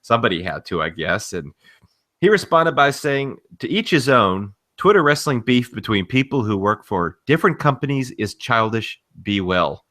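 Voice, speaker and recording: A man's voice: mid-range voice, very animated, normal speed, fairly bright tone. A man co-hosting a podcast, giving casual commentary with a dry, wry delivery. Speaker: podcast co-host. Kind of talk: casual commentary, reading out tweets.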